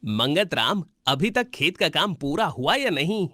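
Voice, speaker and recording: Man acting cruel landlord, cartoon story, harsh and scolding, sharp tone, close dry sound. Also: fairly high pitch, sing-song, a little slow, no room sound, full character voice. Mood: stern, bullying. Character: cruel, hot-tempered male landlord bullying his farm servant.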